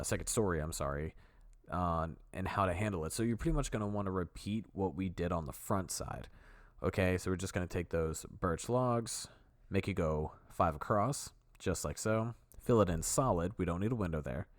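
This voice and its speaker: A man with a very low voice talks through a building tutorial as a relaxed voice-over. He is sick and a bit sniffly, and he rambles easily and corrects himself along the way. The sound is dry and close, with no room around it. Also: dry recording. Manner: easygoing, rambling, self-correcting. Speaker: video tutorial host. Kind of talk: casual voice-over walkthrough.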